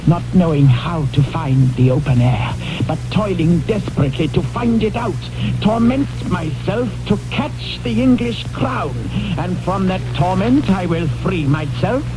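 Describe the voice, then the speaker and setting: A murderous, scheming would-be king in classical radio theatre. His delivery is very slow and declamatory, in a fairly high male voice, with music clearly behind him. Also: poor audio. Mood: tormented resolve. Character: scheming, murderous royal usurper, gleefully villainous. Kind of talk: classical Shakespearean radio acting.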